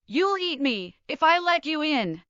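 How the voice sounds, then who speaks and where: A woman voicing a small young male pig in a children's story, squeaky, wary and defiant. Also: big pitch swings, unhurried, bright, forceful tone, no room sound, dull audio, exaggerated voice.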